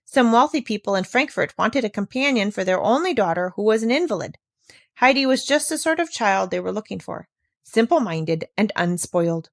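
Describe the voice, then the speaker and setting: A woman's voice: medium pitch, lively intonation, normal speed, creaky, no echo, clean studio sound. A woman narrating a classic children's story, reading aloud from a script. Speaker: audiobook narrator.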